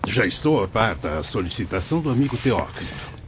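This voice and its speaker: Guy doing a genial priest boss in a radio play, lowish voice that swoops around, courteous, muffled with a bit of noise. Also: sing-song, fairly quick. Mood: welcoming, pious. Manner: courteous, explanatory, pious. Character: hospitable male priest, director of a watchtower, genial authority. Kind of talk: radio drama acting. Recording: dull audio with faint noise, rumble.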